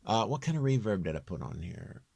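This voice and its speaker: A man with a very low, near-bass voice giving a casual, off-the-cuff software walkthrough in a rambling, plain-spoken, self-deprecating way. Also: big pitch swings, a little fast, breathy edge, no echo, clean studio sound. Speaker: home-recording singer giving a tutorial.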